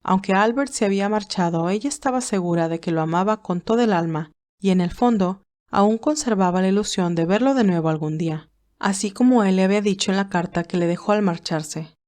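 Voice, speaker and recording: A woman narrator reading a romantic drama as a scripted audiobook, in a medium-pitched voice. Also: brisk, darkish tone.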